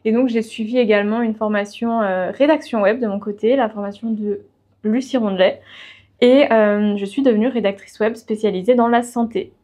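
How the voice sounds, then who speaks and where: A young woman with a fairly high voice talks as a podcast guest. She tells her own story in a relaxed, conversational style, as in an informal chat. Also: moderate pace. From of Portuguese family background. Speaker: podcast guest, freelance web writer.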